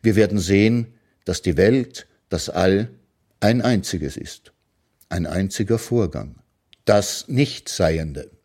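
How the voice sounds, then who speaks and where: A man reading his own philosophical short prose aloud in a calm, measured way, as a radio literary reading. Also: low-pitched.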